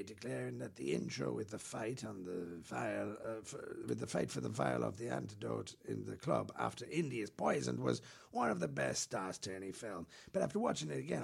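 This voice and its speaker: A man's voice: vocal fry, breathy edge. A man co-hosting a comedy podcast, reading a listener email aloud in a playful, exaggerated way. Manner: playful, exaggerated reading with big pitch jumps. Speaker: podcast co-host.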